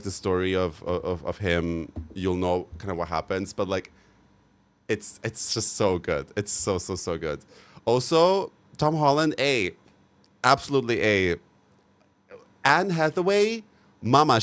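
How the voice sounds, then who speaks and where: A young man chats casually with his livestream viewers, rambling and gushing and swearing now and then. His voice sits a bit low for a man, and he piles up fillers and repeats as he talks. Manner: gushing and expressive, with many fillers and repeated "so good"; chatty, frank and sometimes profane. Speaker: livestream host.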